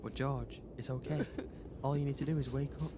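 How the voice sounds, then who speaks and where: Male actor as soft, coaxing brother, mid-pitched, clear birdsong and ambience behind, radio drama. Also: steady tone, a little fast, noisy background, close to an everyday voice. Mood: reassuring. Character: gentle, reassuring brother, ghostly dream visitor.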